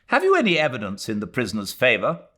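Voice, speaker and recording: A man's voice: medium pitch, wildly swooping pitch, quick pace, pushed and bright, pitched above the performer's own voice, exaggerated voice. Man acting a pompous, impatient young English official. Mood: officious, scolding. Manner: officious, curt, emphatic.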